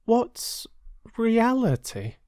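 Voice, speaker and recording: Man voicing a high-pitched, childlike little girl. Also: sing-song, very slow, a little mellow, no room sound, clean studio sound, exaggerated voice. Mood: puzzled. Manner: childlike, plaintive. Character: sweet little girl, imaginative, easily upset.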